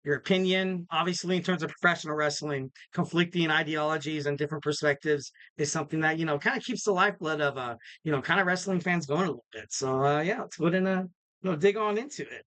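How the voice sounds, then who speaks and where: A man hosting a wrestling podcast alone, rambling cheerfully with many fillers in a slightly raspy voice, close to the mic. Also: a little high, fast, a bit bright, dry recording. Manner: rambling, filler-heavy, upbeat promo talk. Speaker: podcast host. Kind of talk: casual solo wrestling preview.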